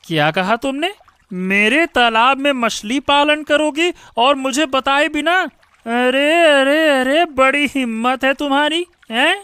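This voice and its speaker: A man playing an arrogant, accusing village bully in a Hindi animated moral story. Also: very high voice, slow pace, no room sound, heavily acted. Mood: arrogant, threatening. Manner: haughty, accusing, taunting.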